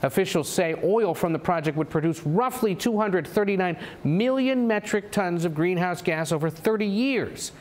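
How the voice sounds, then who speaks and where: A man's voice: high voice, expressive, measured pace. A man narrates a news report from a script in a formal reporting style.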